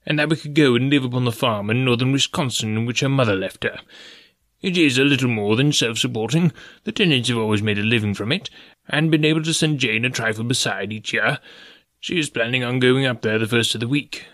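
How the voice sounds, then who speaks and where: A man's voice: mid-range voice, a little fast, fairly bright tone, no room sound, clean studio sound, close to an everyday voice. A man voicing a fussy, courteous, anxious old professor in an audiobook story. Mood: courteous, resigned. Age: older.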